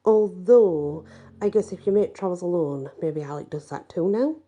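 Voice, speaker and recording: A woman acts a buff male shapeshifter shepherd in a fantasy storybook. He is laid-back and wry, talking casually in a low, husky, mellow voice. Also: animated, moderate pace, dull audio. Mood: casually curious. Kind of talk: acted storybook dialogue.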